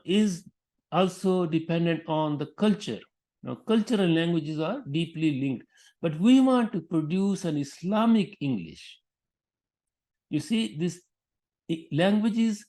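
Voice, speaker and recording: A man speaking off the cuff about religious education, pausing often, restarting his sentences and adding Arabic honorific phrases. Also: a little high, a little mellow. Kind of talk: unscripted talk on teaching.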